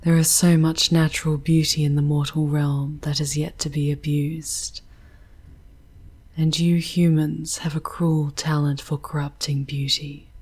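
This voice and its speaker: A woman voicing a charming, wistful female demon guide in an ASMR roleplay, with a breathy, whispery voice and poetic, formal speech. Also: sits low, darkish tone. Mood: wistful, probing. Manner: slow, poetic, formal diction. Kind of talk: ASMR roleplay, soft theatrical narration.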